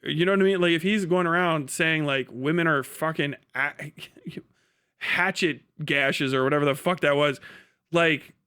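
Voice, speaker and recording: A man with a high, bright voice delivers off-the-cuff political commentary as a stream host. He is sarcastic and swears freely, slipping into imagined back-and-forth exchanges as he goes. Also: a little slow, clear, resonant tone. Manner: sarcastic, acts out imagined conversations in different voices. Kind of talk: casual profane political commentary.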